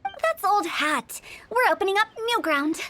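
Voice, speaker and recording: Brief: a playful, scheming young funeral director, high and bright, teasing with creepy asides. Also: female voice, sing-song, unhurried, noisy background, full character voice. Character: mischievous young female funeral parlor director. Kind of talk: video game voice acting.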